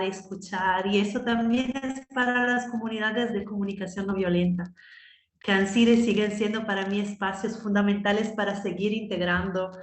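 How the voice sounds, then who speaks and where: A woman's voice: mid-range voice, reverberant. A woman from Italy, a nonviolent communication trainer, answering interview questions thoughtfully, with frequent fillers. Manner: thoughtful, many "pues" and "¿no?" fillers.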